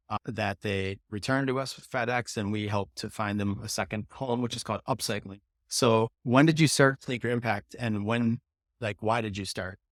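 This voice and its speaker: A man's voice: lowish voice, fairly bright tone, no echo, clean studio sound. A man hosting an interview, asking conversational questions and giving short, encouraging replies.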